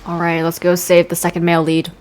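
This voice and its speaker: Playful, lowish-voiced young woman gaming streamer. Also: completely flat delivery, fast, darkish tone, a little hiss or hum. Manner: playful, teasing, theatrical. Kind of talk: casual joking playthrough commentary.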